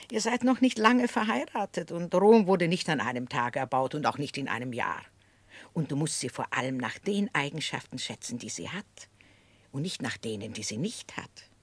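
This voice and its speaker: An actress playing an older aunt, kindly but firm, speaking husky, measured advice in a 1960s German radio drama. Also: female voice, low voice, no room sound, exaggerated voice. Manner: measured, admonishing.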